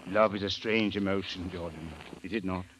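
A man's voice: low voice, fairly even intonation, normal speed, a bit bright. A man acting a courteous, dryly sly foreign police chief, with formal, hesitant phrasing, in a 1950s radio drama. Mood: sly, gracious. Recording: old radio, faint noise.

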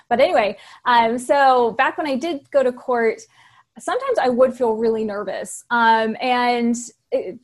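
Woman, thirties, soft-spoken, higher-pitched, casual, lots of "um" and "you know". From Texas. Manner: soft-spoken, chatty with frequent fillers. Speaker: business attorney guest. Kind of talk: casual interview talk.

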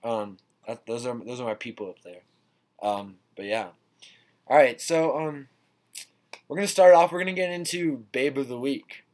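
A man's voice: medium pitch, normal speed, a little mellow. Laid-back teen boy hosting a podcast. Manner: laid-back, repeats and restarts words. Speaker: podcast host. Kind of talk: casual chat. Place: indoors, ski trip lodging.

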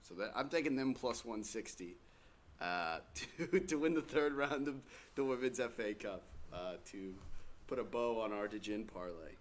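Deep-voiced young man, dry teasing. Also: expressive, moderate pace. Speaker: podcast co-host. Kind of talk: casual sports-betting chat.

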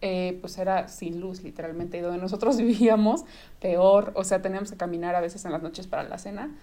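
A young woman with a Mexican accent, a podcast guest telling stories in an animated, casual way with lots of slang, in a studio. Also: on the low side, brisk. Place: studio in front of a camera and light.

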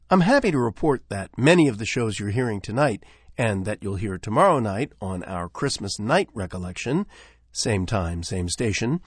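A man's voice: mid-range voice, no room sound. An American man hosting a radio show, presenting from a script on a close studio microphone. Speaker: radio host.

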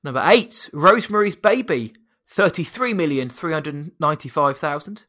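A man with a high, squeaky voice co-hosts a casual film podcast. His tone is bright and forceful, and he rattles through names and box-office figures in a chatty way, poking fun at himself as he goes. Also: lively intonation, normal speed. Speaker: podcast co-host.

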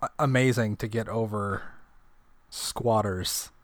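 Brief: deadpan co-host, man, dry close sound, feeling a bit ill. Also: fairly low pitch, takes it slowly, dry recording. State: feeling under the weather. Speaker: podcast co-host. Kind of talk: casual chat.

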